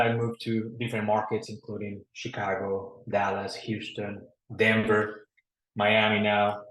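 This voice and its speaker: Colombian-accented man in an echoey room. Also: fairly low pitch. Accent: Colombian Spanish. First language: Spanish. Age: middle-aged. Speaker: broadcast professional, panel guest. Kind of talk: personal story on a webinar panel. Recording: roomy, echoey sound.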